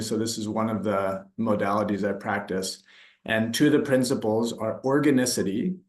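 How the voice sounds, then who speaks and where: Man from the United States, therapist type; calm, thoughtful, pauses to find words; echoey room. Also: mid-range voice. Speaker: somatic therapist, workshop facilitator. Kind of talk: reflective interview answers. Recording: roomy echo.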